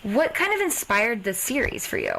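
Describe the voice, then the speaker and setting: A woman's voice: fairly high pitch, huge pitch swings, moderate pace, pushed and bright, slight background noise, poor audio. A woman hosting a podcast interview in a casual, chatty way, informal and readily affirming her guest.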